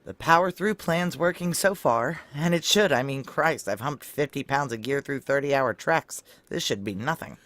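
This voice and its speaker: A woman's voice: a bass voice, expressive, moderate pace, few pauses, a bit bright. An actress playing a tough, sardonic, profane female military security chief in a noir audio drama, blunt and wry. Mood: wry, weary. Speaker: lead actor and narrator.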